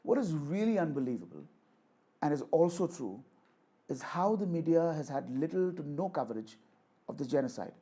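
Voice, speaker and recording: A man giving a rhetorical political speech in a declamatory, deliberate manner, repeating phrases for emphasis. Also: a little high, big pitch swings, dark tone, breathy edge. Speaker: orator reading a voice sample.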